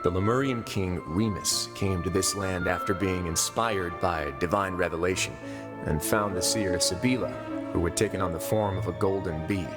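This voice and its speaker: Game duke male actor: lowish, composed, dryly teasing, measured delivery, music underneath. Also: lightly acted. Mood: measured storytelling. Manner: unhurried, dry, teasing, matter-of-fact. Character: composed, wry duke running an underwater prison fortress. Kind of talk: video game character acting. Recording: game music playing behind.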